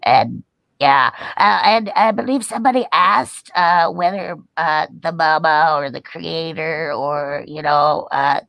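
A woman voicing a chatty, sheepish seven-year-old boy puppet in an improvised interview, halting and rambling as words are searched for. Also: low-pitched, gravelly, fairly bright tone, no room sound, exaggerated voice, poor audio. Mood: chatty, hesitant.

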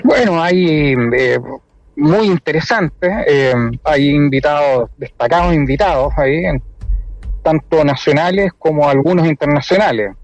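A man's voice: a little high, no echo. A man speaking conversationally as a guest in a radio interview over the phone. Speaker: musician and ensemble director, interview guest. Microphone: telephone. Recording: dull phone-in line, dry.

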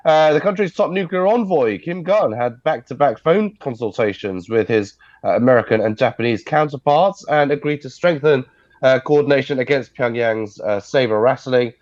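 A man's voice: mid-range voice, normal speed, no echo. Congested man on a dull video call. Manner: steady explanatory delivery with frequent uh fillers. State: congested, stuffy nose. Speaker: news correspondent. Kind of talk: news briefing over a video call.

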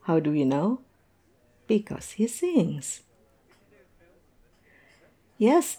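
A woman playing a tipsy, observant coworker in a conversational audio roleplay, musing and teasing in a halting way. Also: fairly low pitch, mellow, exaggerated voice. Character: tipsy, observant young woman chatting with coworkers over drinks.

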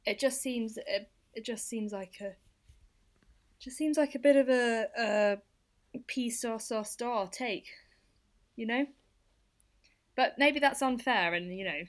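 A woman co-hosting a relaxed, conversational discussion of the news. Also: fairly high pitch, slow pace, pauses often. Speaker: podcast co-host. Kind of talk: casual news chat.

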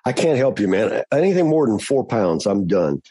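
A man hosts a casual podcast in a friendly, joking manner. His voice is nasal from a recent flu, low and slightly husky. The sound is dry and close. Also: sing-song, moderate pace, warm tone, no echo. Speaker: podcast host.

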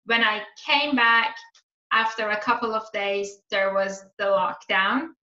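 Slow, bright-voiced woman in her thirties. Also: mid-range voice. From Iran. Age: 30s. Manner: hesitant, many ums, warm laughs. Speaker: guest. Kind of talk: casual chat. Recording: roomy, dull lo-fi sound.

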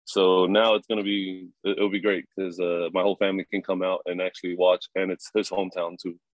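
A young man in his twenties, a rugby player answering interview questions in a relaxed, halting way with many fillers and chuckles. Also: sits low, no echo. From New Zealand. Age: 20s.

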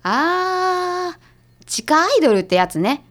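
A woman's voice: very high voice, very animated, a bit bright, exaggerated voice. A woman playing a blunt, skeptical detective's assistant with a Kansai accent in a comedic radio drama. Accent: Kansai Japanese. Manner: blunt, brisk retorts.

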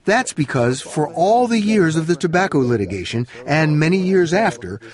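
A man correspondent narrating a television news report in a measured, scripted way. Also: on the high side, big pitch swings, crowd noise. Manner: measured, deliberate.

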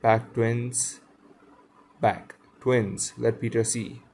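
A man's voice: mid-range voice, flat delivery, drawn-out words, a little mellow. Man reading a timid, remorseful storybook boy. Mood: solemn, resolute. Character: timid, remorseful young boy with solemn dignity. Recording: faint background noise.

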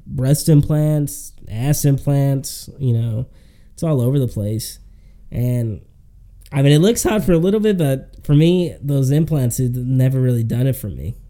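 Man, medium pitch, very mellow dark tone, casual podcast chat, slangy, rambling. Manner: slangy, rambling, says "man" and "dude" often. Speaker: podcast co-host.

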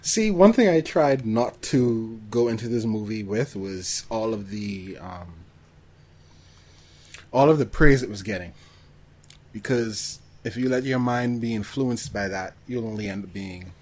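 Husky-voiced man chatting casually about films. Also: fairly low pitch, lively intonation, measured pace, a little mellow. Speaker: podcast co-host. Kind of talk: casual film discussion.

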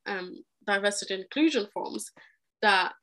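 A woman's voice: medium pitch, moderate pace, pushed and bright. A young adult woman from Egypt, a podcast guest, talking earnestly and with animation in a conversational interview, often restarting her sentences. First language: Arabic.

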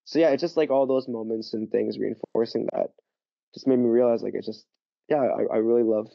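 A man's voice: medium pitch, vocal fry, no echo. A man chatting as a podcast guest, telling stories animatedly with lots of fillers and restarts. His audio is dull and muffled, with a dry, close sound. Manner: animated storytelling, full of fillers and quick restarts. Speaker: podcast guest, language teacher. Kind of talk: casual interview chat.

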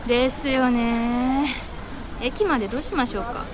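A woman's voice: a little high, moderate pace, background noise, lightly acted. A woman acting a young, deferential and easygoing female office junior in a voice drama, polite and bubbly.

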